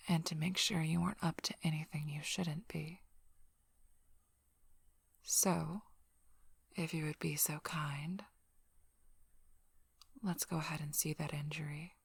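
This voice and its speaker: A woman playing a curt, sardonic, guarded female werewolf pack leader in an ASMR role-play, speaking bluntly and drily in a breathy, often whispered voice. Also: low-pitched, steady tone, no echo, heavily acted. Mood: curt, suspicious. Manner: blunt, dry, impatient, unhurried.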